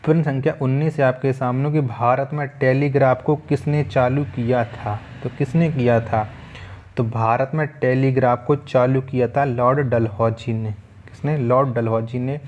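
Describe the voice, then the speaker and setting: A man's voice: medium pitch, fairly even intonation, normal speed, darkish tone, dull audio. A man teaching a quiz lesson, methodically reading out questions, asking them again and repeating the answers. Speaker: exam coaching teacher.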